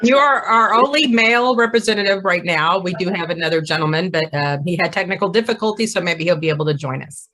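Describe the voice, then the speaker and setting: A woman with a lowish voice hosts a relaxed group chat over a video call. She is friendly and unhurried, and her speech is full of small fillers and restarts. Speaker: host and moderator. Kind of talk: casual group conversation.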